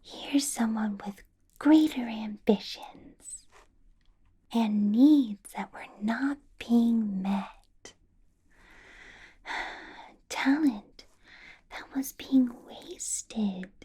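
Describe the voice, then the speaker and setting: A female vampire boss, acted by a woman in an ASMR roleplay, speaks in a breathy, husky whisper. She is very slow and deliberate, lingering over pauses and musing hums. Her tone is soft and mellow, yet possessive, condescending and coolly menacing. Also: a little high, heavily acted. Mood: wistful, disappointed.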